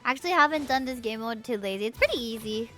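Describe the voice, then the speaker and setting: Young female game streamer, high-pitched, expressive and chatty, with music playing behind her. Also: quick pace. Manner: bubbly, expressive, playful exclamations. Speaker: livestreamer. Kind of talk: casual gameplay commentary with chat replies.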